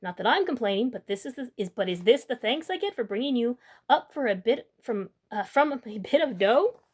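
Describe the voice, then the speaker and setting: A woman's voice: fairly high pitch, expressive, a little fast, darkish tone, slightly rough. A woman voicing an old grandmother in a storybook, put-upon and guilt-tripping with Yiddish-flavored phrasing.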